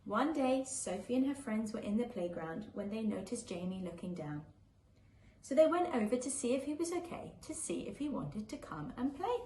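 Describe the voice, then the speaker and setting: Woman reading a kids story out loud, kinda high voice, echoey room, bit of noise behind. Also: very animated, normal speed. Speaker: storyteller reading a children's book aloud. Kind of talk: live picture-book reading for young children.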